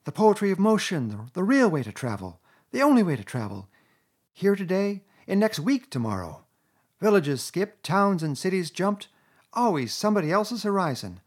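Guy doing a giddy posh toad, way up high and loud, gushing and swooning, kinda husky. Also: very animated, normal speed, full character voice. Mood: rapturous. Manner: rapturous, gushing, swooping high. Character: boastful dreamy male toad, gentleman.

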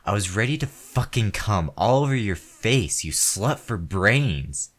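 A man playing a deep, slow, cursing brute. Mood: furious. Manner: heavy cursing, snarling. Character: crude, hot-tempered man turned frightened and pleading. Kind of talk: one-sided audio roleplay.

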